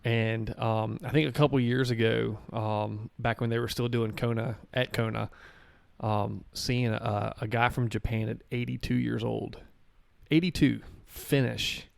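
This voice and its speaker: Gravelly man, halting and hesitant. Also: mid-range voice, fairly even intonation, dry recording. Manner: halting, full of "you know" fillers. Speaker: podcast guest, Ironman athlete. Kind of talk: casual podcast chat.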